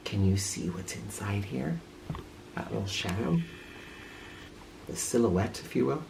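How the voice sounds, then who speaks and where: A woman reading a children's story aloud in a theatrical, expressive way, with an informal touch. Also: extremely low pitch, measured pace, creaky, noisy background. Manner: theatrical, with informal asides to listeners.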